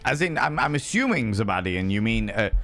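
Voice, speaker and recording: Hoarse guy streaming, low voice, cheeky theatrical banter with chat. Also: sing-song, fairly quick, fairly bright tone, soft background music. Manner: playful, theatrical greetings to chat. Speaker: livestream host. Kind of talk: casual chat with viewers.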